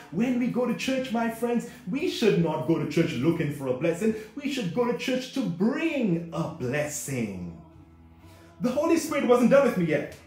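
A man's voice: high voice, darkish tone. A man preaching a fervent sermon, emphatic and repetitive, addressing his listeners directly. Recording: very echoey room, music behind.

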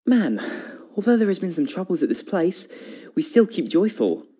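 A man voicing a friendly, easygoing steam engine companion in an animated game, casual and a little hesitant. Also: high voice, normal speed, husky, heavily acted. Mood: friendly, puzzled. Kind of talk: fan animated game cutscene acting.

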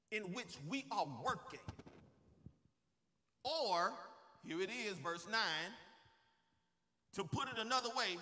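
A man's voice: high-pitched, sharp tone. A man preaching a church sermon in an impassioned, emphatic way, repeating phrases for effect and calling on the congregation to respond. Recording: long reverberant hall sound.

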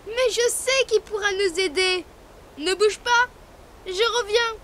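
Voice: very high-pitched, slow, sharp tone, full character voice. An actor voicing a cheerful, helpful young rabbit who explains drawing step by step in a childlike way for a preschool cartoon. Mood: eager. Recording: music under the voice.

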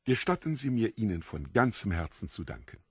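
An actor playing a mischievous foreign gentleman in an audio drama, theatrical, effusively polite and mocking. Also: on the low side, sing-song, a little fast, breathy edge, no room sound, clean studio sound, full character voice. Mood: delighted politeness. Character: courtly, mischievous foreign stranger, devilishly theatrical.

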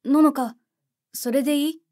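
A woman's voice: sits high, steady tone, normal speed, dark tone, natural voice. A woman voicing a polite, careful, reserved young boy in an anime-style voice drama. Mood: polite, hesitant.

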